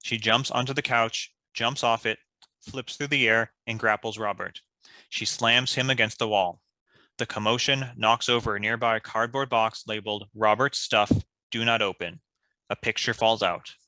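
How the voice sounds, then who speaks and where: A man's voice: fairly low pitch, steady tone, moderate pace, pushed and bright, no echo. A man reading stage directions as scripted narration.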